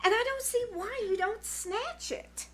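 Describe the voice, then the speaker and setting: A woman's voice: very high voice, a little slow. A woman playing a bossy, gushing teenage girl who talks in dramatic slang, in 1940s radio comedy style. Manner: slangy, dramatic, know-it-all. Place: small-town theater stage, live performance.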